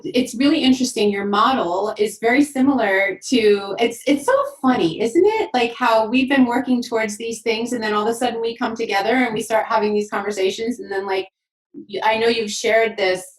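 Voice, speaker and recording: A woman hosting a podcast, affirming her guest in an enthusiastic, conversational way. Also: on the high side. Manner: enthusiastic, affirming, fast bursts. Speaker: podcast host. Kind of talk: conversational interview. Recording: roomy sound from a distant mic.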